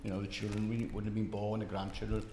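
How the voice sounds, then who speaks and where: A man's voice: on the low side, monotone, fast. An older man in his sixties, reminiscing casually. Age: 60s. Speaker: interviewee. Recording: echoey hall, faint noise.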